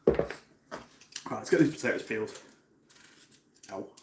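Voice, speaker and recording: A man's voice: fairly low pitch, expressive, measured pace, echoey room, heavy background noise. A man in his thirties from North Wales, chatting casually with viewers while he cooks on a live stream. He often repeats words and restarts his sentences. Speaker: cooking stream host. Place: home kitchen.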